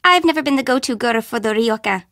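High, animated sassy young city girl. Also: fast, no room sound, clean studio sound. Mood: bored, dismissive. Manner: teasing, slangy, breezy and blunt. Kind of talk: video game character dialogue.

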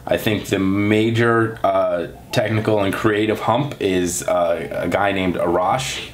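A man's voice: sits low, fairly even intonation, slow pace. A man chatting casually and joking about production trouble in an office editing room. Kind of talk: casual behind-the-scenes chat.

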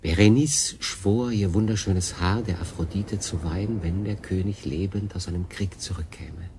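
Tired castaway sailor in a radio play, low pensive voice, noisy background. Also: male voice, moderate pace, darkish tone. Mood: musing. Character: stranded seafarer, weary, pensive, determined man. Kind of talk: radio drama acting.

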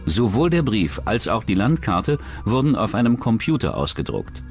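A man narrates a true-crime documentary from a script. His voice is fairly low and moves in pitch within a narrow range, with music playing underneath. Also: big pitch swings, moderate pace, a little mellow.

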